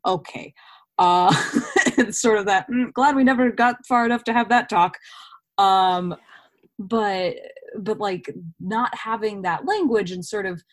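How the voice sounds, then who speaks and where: A woman speaking casually as an actor being interviewed, telling stories and voicing her own thoughts as quotes. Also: mid-range voice.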